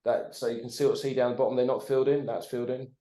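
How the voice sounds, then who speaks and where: Man, forties, podcast guest, sweary, animated, keeps asking "right?", video call. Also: mid-range voice, fast talker. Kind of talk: casual conversation.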